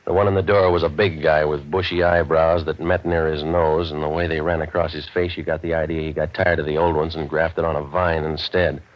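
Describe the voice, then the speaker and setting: Deep-voiced, deadpan hardboiled private eye. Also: male voice, a little fast, few pauses. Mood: wry, deadpan. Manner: deadpan, cynical, tough-talking. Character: hardboiled, wisecracking male private eye narrating his case. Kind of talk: 1940s radio detective acting and noir narration. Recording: dull vintage radio recording.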